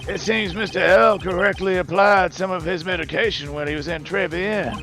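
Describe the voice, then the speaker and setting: A man voicing a smug, cryptic prosecutor in an amateur courtroom game dub, with teasing, drawn-out phrasing. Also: high-pitched, a bit bright. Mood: dry, knowing. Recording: game music faintly behind voice.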